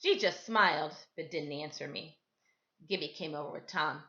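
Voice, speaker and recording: A woman reading a children's story aloud in an animated, expressive way. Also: sits low, normal speed, highs cut off. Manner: animated, dramatizes dialogue. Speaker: storybook reader, teacher.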